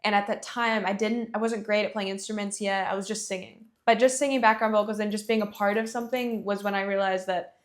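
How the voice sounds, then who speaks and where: A woman's voice: medium pitch, steady tone, fast. A young woman from Georgia, a singer-songwriter chatting casually as an interview guest, laughing often and filling with "you know". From Athens, Georgia.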